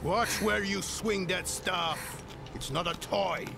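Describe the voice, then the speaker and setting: An actor playing a gruff, weary older male scholar-mage in a dramatic video game, speaking deliberately and brusquely in a gravelly voice. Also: high-pitched, music in the background, heavily acted. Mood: stern, scornful.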